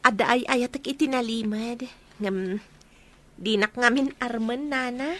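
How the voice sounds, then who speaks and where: A sweet young village maiden acted by a woman in an Ilocano radio drama. Her voice is very high. She speaks politely and gently, with respect. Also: unhurried. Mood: sweet, shy.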